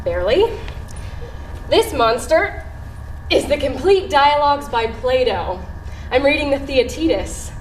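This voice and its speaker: An earnest young-at-heart romantic woman in a stage play. Her voice is high and she takes her time, projecting theatrically. It reaches the listener from a distance in an echoey theatre. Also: lively intonation, unhurried, long pauses, slight background noise. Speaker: stage actress. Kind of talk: live stage play acting. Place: indoor theatre stage, far from microphone.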